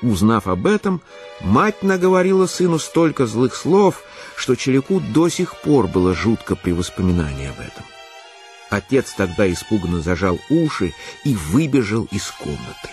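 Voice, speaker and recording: A low male voice tells a literary tale for a radio play. The storytelling is measured and expressive, with music behind it. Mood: engaged storytelling, then grave, hushed. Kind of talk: dramatic literary narration.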